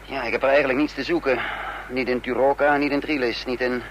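Een acteur die in een hoorspel een vastberaden voortvluchtige dubbelganger speelt, bedachtzaam sprekend en dan weer bevelend. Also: male voice, medium pitch, fairly even intonation, fast talker, a bit bright, muffled recording, natural voice. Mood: brooding. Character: resolute male fugitive impostor leading his companions. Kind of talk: radio drama acting. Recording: noisy old radio play recording.

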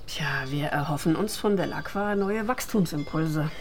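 A woman's voice: deep voice, normal speed, noisy background, close to an everyday voice. An actress playing a diplomatic middle-aged mayor and harried mother in a naturalistic radio drama. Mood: composed, official. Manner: polite, official, exasperated with her son. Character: diplomatic female mayor, embarrassed mother of a teenage son.

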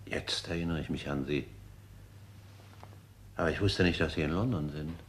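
An actor playing a wary, deferential manservant in a 1960s German crime radio play, speaking hesitantly. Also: male voice, rumbling low, normal speed, a little hiss or hum.

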